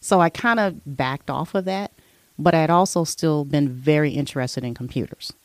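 A woman's voice: low-pitched, normal speed, no echo. A middle-aged woman telling her life story in a casual interview, chatty, with frequent "you know" fillers. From born abroad, raised in military family. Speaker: interview guest.

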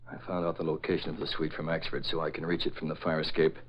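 An actor playing a calm, determined crime-fighting hero in a 1940s radio drama, speaking in a measured, confiding way. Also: male voice, very deep voice. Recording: dull, noisy old radio recording.

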